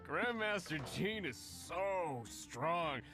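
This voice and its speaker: A man's voice: sits high, animated, drawn-out words, music in the background, heavily acted. A man voicing a carefree, giggly drunk young tavern drinker in a video game, with a lazy drawl. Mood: admiring, carefree. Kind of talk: video game character acting.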